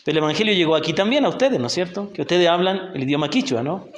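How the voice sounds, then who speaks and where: A man's voice: high-pitched, lively intonation, rapid-fire, reverberant. A Chilean man, a missionary teacher, leading a Bible class and patiently asking the group questions, often checking in with "ya?". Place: church hall in a rural community.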